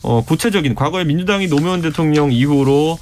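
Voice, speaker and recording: A man's voice: fairly high pitch, darkish tone, a little hiss or hum. Earnest man in his thirties debating. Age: 30s. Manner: measured, earnest argument. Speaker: political panelist. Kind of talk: radio debate. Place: radio studio.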